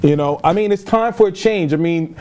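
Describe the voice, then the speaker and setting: A man speaking as a podcast co-host in casual, halting movie review chat, often searching for words. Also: sits high, darkish tone, clean tone.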